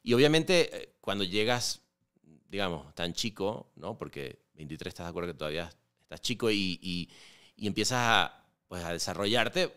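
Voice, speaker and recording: Man, 40s, Venezuelan Spanish accent, interview guest, very deep voice, relaxed chat with fillers. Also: moderate pace, clear, resonant tone. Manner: relaxed, many fillers and restarts, frequent rhetorical "¿no?". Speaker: podcast guest, filmmaker. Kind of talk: casual interview conversation.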